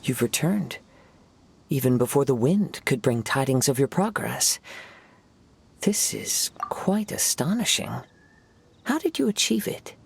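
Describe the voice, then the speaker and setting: A man voicing a gentle young wandering poet in an anime game, serene, formal and soft-spoken. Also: medium pitch, big pitch swings, unhurried, vocal fry, pauses often, slight background noise. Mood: quietly impressed. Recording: music behind the voice.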